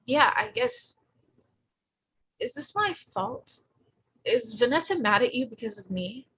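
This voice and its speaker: A woman's voice: fairly high pitch, very animated, a little slow, pushed and bright, slight background noise, exaggerated voice. A woman playing a blunt, slangy, sarcastic sixteen-year-old pregnant girl in a live screenplay read, earnest underneath. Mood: uneasy, earnest. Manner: slangy, flippant, emphatic.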